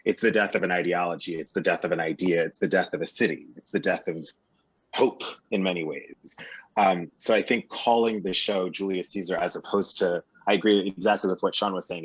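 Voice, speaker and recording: A man with a very low voice and a slightly husky edge takes part in a panel discussion. He analyses with emphatic repetition, one parallel phrase after another. The sound is dry and somewhat muffled. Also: steady tone, fairly quick, dry recording. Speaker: panel guest, actor.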